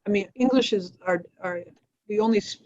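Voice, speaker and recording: A woman answering questions as a nonprofit executive, explaining programs in a halting, matter-of-fact, informal way. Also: mid-range voice, darkish tone. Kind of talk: informal spoken presentation on a video call. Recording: dull, lo-fi livestream audio.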